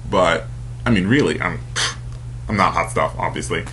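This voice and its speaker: A man's voice: deep voice, moderate pace, a bit bright, a little hiss or hum, poor audio. A young man in his late teens or early twenties talking casually to camera in a confessional vlog, rambling and hesitant, full of fillers and restarts. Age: young adult. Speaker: vlogger.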